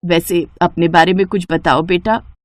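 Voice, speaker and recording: Kindly older mother-in-law female storyteller acting, low, dry sound, caring and concerned, family storybook dialogue. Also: fairly even intonation, moderate pace, no echo, lightly acted. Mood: concerned, soothing. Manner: gentle, motherly, reassuring.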